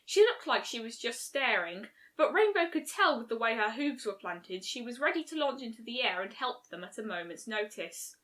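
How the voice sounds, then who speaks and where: A woman's voice: on the high side, animated, moderate pace, hardly any pauses, pushed and bright. A woman narrating a scripted fan fiction story as its reader.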